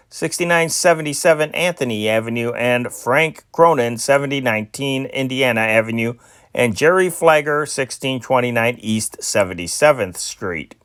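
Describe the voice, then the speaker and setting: A man reading old newspaper stories aloud in a steady amateur style, with small slips and restarts. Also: fairly high pitch, no room sound, clean studio sound. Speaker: newspaper reader.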